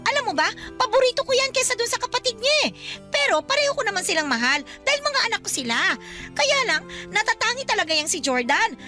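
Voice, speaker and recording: A woman's voice: very high-pitched, sing-song, fast, bright, forceful tone, faint music behind, exaggerated voice. A woman playing a nagging, defensive middle-aged aunt who dotes on her son in a radio drama. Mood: proud, nagging. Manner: nagging, indignant, emphatic. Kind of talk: Filipino radio drama acting.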